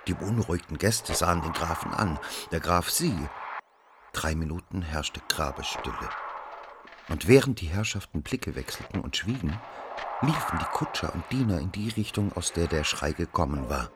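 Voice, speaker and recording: A man playing a brooding first-person narrator in a radio drama, telling a literary tale in a measured, dramatic way. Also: deep voice, lively intonation, background noise. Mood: grave.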